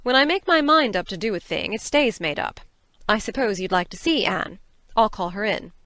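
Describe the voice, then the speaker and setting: A woman's voice: mid-range voice, lively intonation, normal speed, fairly bright tone, dry recording, close to an everyday voice. A woman playing a dry, firm, stern middle-aged farm woman in a dramatized audiobook. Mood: dry, resolute. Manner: dry, matter-of-fact.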